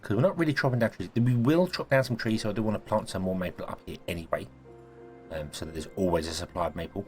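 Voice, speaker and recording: A deep-voiced man talks through a city-building game, rambling and correcting himself as he goes. His voice is a little croaky from a cold, and soft music plays faintly underneath. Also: fast talker. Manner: thinking aloud, rambling, self-correcting. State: croaky, sneezy voice. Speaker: gaming video host. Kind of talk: casual let's-play commentary.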